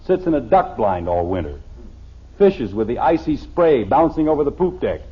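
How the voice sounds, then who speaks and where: An actor playing a stubborn, defensive middle-aged American suburban father in a blustery, exasperated 1950s radio sitcom style, with a husky voice. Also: medium pitch, big pitch swings, moderate pace, slight background noise, lightly acted. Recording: dull, lo-fi old radio recording.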